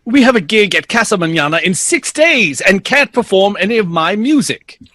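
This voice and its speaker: Commanding bandleader, exasperated, emphatic, lively intonation, dry studio sound, period audio drama. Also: male voice, high-pitched, moderate pace, no echo, heavily acted. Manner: authoritative, emphatic, formal turns of phrase. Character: Duke Ellington, commanding exasperated bandleader father in 1940s.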